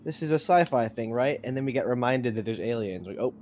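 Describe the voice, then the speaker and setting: A man's voice: medium pitch, animated, fast talker. Man chatting, dull lo-fi sound. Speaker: tabletop game player. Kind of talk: casual chat. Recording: dull lo-fi sound, faint noise.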